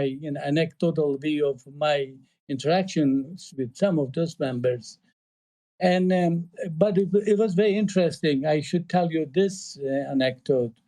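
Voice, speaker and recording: A man with a Turkish accent, his voice mellow and a touch higher than most men's. He talks in a halting, casual way, full of fillers and restarts. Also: rough recording. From Eastern Turkey. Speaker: panelist. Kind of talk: casual discussion. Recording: online audio call.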